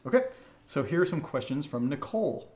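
A man's voice: on the high side, expressive, a little fast, darkish tone. A man hosting a podcast Q&A in a casual, chatty style. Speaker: podcast host and voice actor. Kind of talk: casual chat, Q&A. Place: indoor room, live-streamed session. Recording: echoey room.

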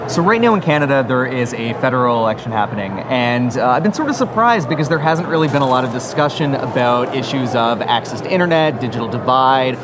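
A man's voice: mid-range voice, animated, quick pace, hardly any pauses. A man interviewing a guest, asking polite, hesitant questions with many fillers. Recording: noisy background hiss.

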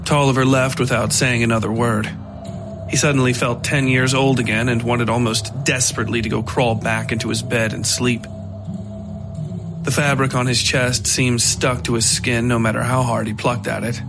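A man's voice: medium pitch, little pitch movement, normal speed. A man reading a scripted horror story as its narrator. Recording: music behind the voice. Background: music.

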